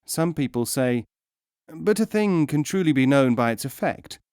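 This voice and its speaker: A man reading philosophical teaching stories as a scripted audiobook narration, in a measured, emphatic, instructive way. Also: on the high side, animated, a little mellow, smooth, no echo, clean studio sound.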